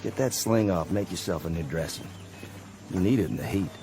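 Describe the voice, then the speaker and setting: A man's voice: sits low, a little fast. An actor playing a weary, kindly older Confederate sergeant, in folksy, fatherly radio drama style. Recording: music behind the voice.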